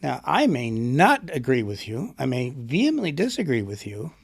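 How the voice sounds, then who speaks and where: A man's voice: mid-range voice, wildly swooping pitch, moderate pace, gravelly, breathy edge. A middle-aged man, an author and poet, being interviewed and thinking aloud with a reflective, rambling manner. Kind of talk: conversational interview.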